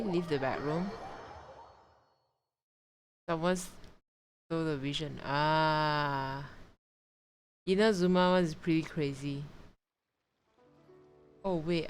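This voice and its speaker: A woman's voice: sits low, slow pace, long pauses. A woman streamer giving casual live gaming commentary in short, fragmentary murmured reactions. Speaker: game streamer. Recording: game music behind. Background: video game music.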